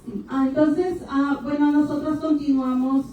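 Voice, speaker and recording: A woman speaking at a panel event, talking in short bursts with hesitations and pausing for her interpreter. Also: high-pitched, little pitch movement, dull audio. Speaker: panel speaker for an immigrant rights group. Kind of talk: public talk in Spanish, paused for interpretation. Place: university hall event. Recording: echoey hall, distant mic, faint noise.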